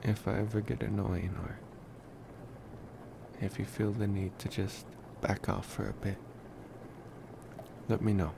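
A man playing a devoted young college vampire in an intimate ASMR roleplay, speaking in a hushed, halting, tender way. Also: fairly low pitch. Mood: sheepish, apologetic. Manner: hushed, halting, soothing, affectionate. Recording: clear steady background ambient noise.

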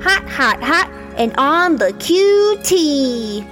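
An actor playing a young newsboy in an old-time radio drama, calling out sensational headlines in drawn-out cries. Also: sits high, sing-song, a bit bright, faint music behind, exaggerated voice. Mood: excited, hawking.

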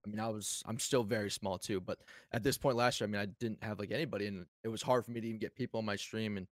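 A man's voice: on the low side, steady tone, quick pace, no room sound, studio quality. A man who streams, chatting easily as a guest on a podcast. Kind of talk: casual interview chat.

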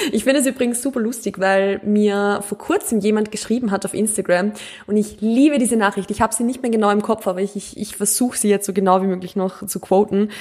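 A woman in her twenties from Austria, hosting a podcast in casual solo chat, with an Upper Austrian accent showing through her standard German, laughing often and dropping in English words. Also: medium pitch. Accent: Upper Austrian (Mühlviertel), speaking standard German. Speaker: podcast host.

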